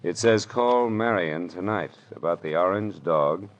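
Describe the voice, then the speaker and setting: An actor with an American accent playing a wry, world-weary private detective in an old-time radio noir drama. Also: male voice, sits low, unhurried, muffled recording. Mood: cool, probing. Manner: wry, hard-boiled. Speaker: actor playing detective and first-person narrator. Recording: vintage radio drama with music behind.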